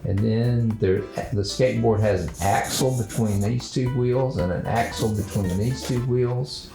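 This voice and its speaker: A man hosting a children's drawing show, talking in a gentle, unhurried, halting way as he thinks aloud through a casual lesson. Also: fairly low pitch, gravelly, roomy sound, over music.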